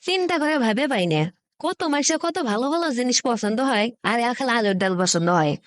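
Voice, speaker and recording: Woman acting high-voiced nagging cartoon housewife. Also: very animated, fairly quick, no room sound, studio quality. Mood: nagging, exasperated. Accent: northern Bangladeshi Bengali. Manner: scolding, questioning, exclaiming. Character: nagging, sceptical village housewife. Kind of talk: comic cartoon dialogue.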